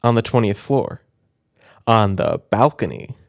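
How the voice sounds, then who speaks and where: A bold storybook bunny girl detective, played by a man, insistent and teasing, with swooping pitch. The voice has a creaky edge and a very dry, close sound. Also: sing-song, unhurried, dry recording, full character voice. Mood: curious, brisk. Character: Bunny, bold, matter-of-fact female rabbit detective in storybook.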